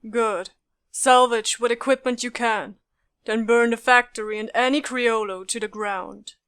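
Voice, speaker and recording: A woman's voice: on the high side, fairly even intonation, measured pace, a bit bright, clear, resonant tone, dry recording. A woman acting a composed, authoritative military commander in an audiobook, with frank, clipped delivery. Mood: businesslike. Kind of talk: fan audiobook character acting.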